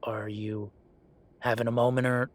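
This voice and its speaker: A man playing a gruff, sarcastic merman who runs a tattoo shop, speaking in a halting, conversational audio roleplay style. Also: mid-range voice, little pitch movement, natural voice. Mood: concerned, gruff. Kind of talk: naturalistic one-sided audio roleplay.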